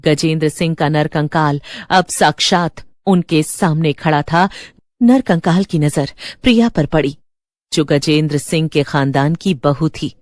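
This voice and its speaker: Low-voiced woman narrating horror. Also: unhurried, a little mellow, no echo, studio quality. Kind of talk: scripted dramatic horror narration.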